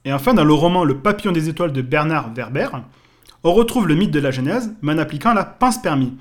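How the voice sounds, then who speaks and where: A man with a somewhat high voice co-hosts a casual podcast. He runs through references at a brisk clip, with short agreeing replies in between. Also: animated. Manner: brisk, says "voilà" often. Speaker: podcast co-host. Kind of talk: casual chat listing references.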